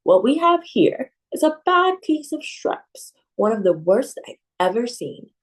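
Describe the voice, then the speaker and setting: A woman voicing a kind, plump old woman healer who talks slowly and gently, at times a little away from the mic. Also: on the high side, sing-song, far from the mic, pitched above the performer's own voice. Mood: gentle.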